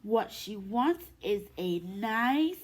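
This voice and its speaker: A woman preschool teacher reading a picture book aloud to young children in an expressive, sing-song way. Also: medium pitch, drawn-out words, slight background noise. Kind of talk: children's story time read-aloud.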